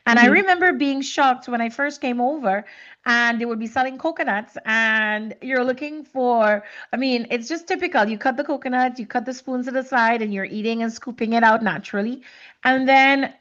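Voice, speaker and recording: A woman's voice: on the high side, moderate pace, a bit bright, lo-fi sound. A woman from Trinidad and Tobago speaking reflectively and conversationally as a podcast host, often repeating words as she searches for phrasing.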